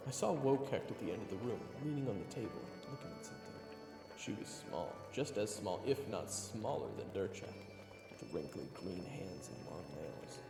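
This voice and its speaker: Snarky young male hero, played by a man, jumpy and extremely animated, reverberant sound with music behind. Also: low-pitched, creaky, heavily acted. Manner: expressive, sarcastic, jumpy. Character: excitable, snarky young male adventurer narrating his story. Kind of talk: first-person narration and audio roleplay acting.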